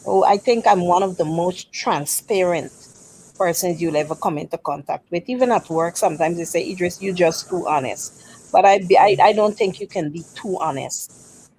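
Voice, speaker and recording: A woman speaking as a panelist in a casual livestream chat, earnest and self-assured, listing her qualities in a measured way over an online call. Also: lowish voice, a little mellow, a little hiss or hum, poor audio.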